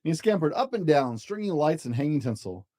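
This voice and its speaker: Children's picture-book narrator: mellow, gentle tone with lively, swooping intonation. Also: medium pitch, brisk. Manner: animated, gentle, addressing young children. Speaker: storyteller reading a children's book aloud.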